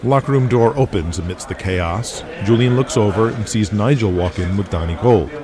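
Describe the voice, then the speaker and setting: A man's voice: lowish voice, normal speed, crowd noise. A man narrating a scripted audio drama in the present tense. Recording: music under the voice.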